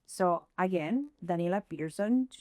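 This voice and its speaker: A woman from Chile with a Chilean Spanish accent, leading a workshop session and often pausing to search for words. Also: fairly low pitch, animated, dark tone, no echo, clean studio sound. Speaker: workshop presenter.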